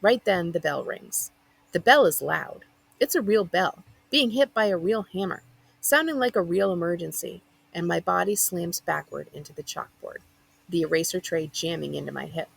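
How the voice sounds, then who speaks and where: A woman librarian reading a first-person children's novel aloud as expressive narration. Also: fairly low pitch, moderate pace, vocal fry, husky, poor audio.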